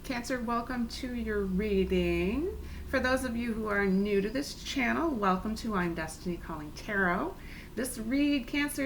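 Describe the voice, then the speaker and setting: A woman with a raspy voice today, giving a chatty, soothing tarot reading to her viewers. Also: mid-range voice, a little hiss or hum, low-quality recording. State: raspy, clearing throat. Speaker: tarot reader. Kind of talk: casual spiritual reading.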